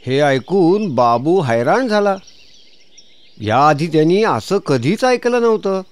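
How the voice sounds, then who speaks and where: A man's voice: a little high, sing-song, takes it slowly. A man narrating a scripted children's fairy tale as storyteller.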